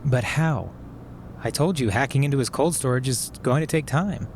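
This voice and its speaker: An actor playing a cautious, anxious amateur hacker in a naturalistic podcast drama, hesitant and defensive. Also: male voice, mid-range voice, moderate pace, slight background noise, close to an everyday voice. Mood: worried. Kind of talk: naturalistic fiction podcast acting.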